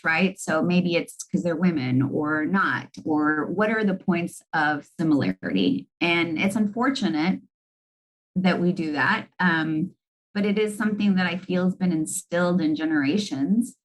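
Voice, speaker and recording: Woman, middle-aged, from Argentina, executive guest, earnest, reflective, fairly low voice. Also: low-quality recording. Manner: reflective, earnest, many "you know" fillers. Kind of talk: candid interview answers.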